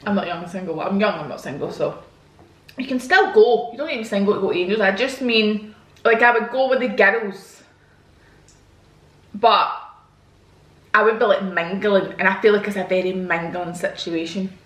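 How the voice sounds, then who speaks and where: A woman's voice: mid-range voice, normal speed, pauses often, fairly bright tone, echoey room. A woman, chatting frankly and casually to her viewers while answering their questions. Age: 20s. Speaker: vlogger. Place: home, indoors. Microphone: camera mic.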